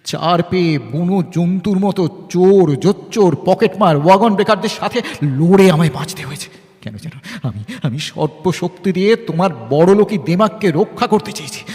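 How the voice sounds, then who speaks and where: Man acting a high-pitched, fiercely devoted lover. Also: animated, normal speed. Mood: urgent, fierce. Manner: melodramatic, urgent, swinging from hushed to forceful. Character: devoted self-made street-bred man, fiercely protective lover. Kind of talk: Bengali audio drama acting. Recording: long hall reverb, faint music behind.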